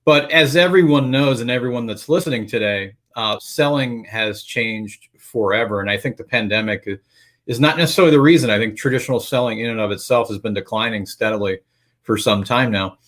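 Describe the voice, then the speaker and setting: A man moderating an online panel discussion in a relaxed, chatty way, over a video call. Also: medium pitch.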